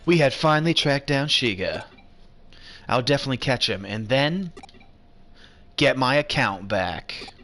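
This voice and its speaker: A man's voice: medium pitch, expressive, a little slow, long pauses, fairly bright tone. A man narrating as a subdued teenage boy in a game. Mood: glum.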